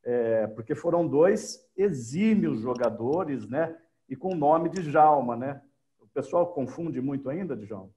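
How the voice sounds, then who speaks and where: A man's voice: medium pitch, expressive, unhurried, warm tone. A man with a Brazilian Portuguese accent co-hosting over a video call, asking questions casually and often checking in with little tag questions. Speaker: co-host. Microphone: video call webcam.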